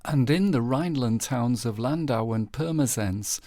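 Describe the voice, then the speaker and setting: A man reading scripted, documentary-style news headlines in a measured, formal manner. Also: mid-range voice, warm tone, no echo, clean studio sound. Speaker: narrator presenting historical news headlines. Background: background music.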